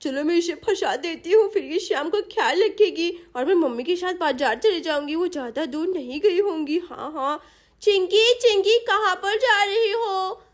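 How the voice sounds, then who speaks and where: A woman's voice: high-pitched, normal speed, few pauses, darkish tone, lightly acted. A woman voicing a sulky, scheming little girl in a story, whiny, pouting and bossy. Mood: sly.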